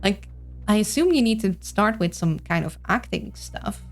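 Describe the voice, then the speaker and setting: A woman's voice: mid-range voice, expressive, normal speed. A woman with a Dutch accent giving casual gameplay commentary, rambling and thinking aloud. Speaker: game streamer. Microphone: streaming mic with voice filters. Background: game music.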